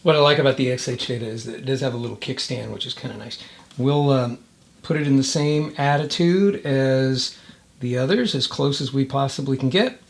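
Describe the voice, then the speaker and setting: A man giving a casual hands-on product demonstration, thinking aloud in a halting way. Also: mid-range voice. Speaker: product reviewer.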